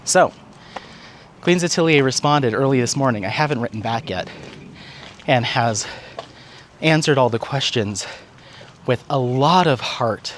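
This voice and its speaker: Male content creator, medium pitch, conversational and upbeat, talking off the cuff while out walking, audible noise behind the voice. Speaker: craft vlogger and content creator. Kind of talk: casual chat, walk and talk update. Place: outdoors on a walk.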